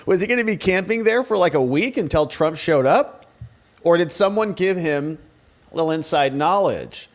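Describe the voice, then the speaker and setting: A man's voice: fairly high pitch, animated, normal speed. A man giving casual, sarcastic commentary as a show host, speaking in a colloquial way. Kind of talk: casual commentary reacting to press conference clips.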